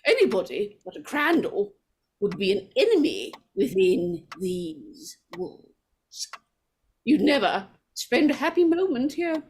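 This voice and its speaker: A woman playing a strange, fretful and possessive character, halting and pleading in melodramatic 1940s radio horror style. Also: mid-range voice, big pitch swings, slightly rough, full character voice. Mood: ominous pleading.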